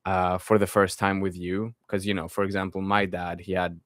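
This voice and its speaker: A young man in his twenties with a low voice chats casually on a live stream, answering viewers' comments and then returning to his topic. His delivery is easygoing and friendly, with plenty of trailing fillers. The sound is dry and close, with almost no room echo. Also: flat delivery, normal speed. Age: 20s. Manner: reads viewer comments aloud and answers them, drifting back to his topic.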